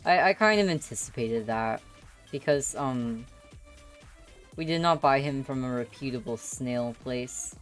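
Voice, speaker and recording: A young woman with a low-pitched voice is chatting casually as she hosts a livestream. Faint music plays behind her as she talks. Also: a little slow. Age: young adult. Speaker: livestream host.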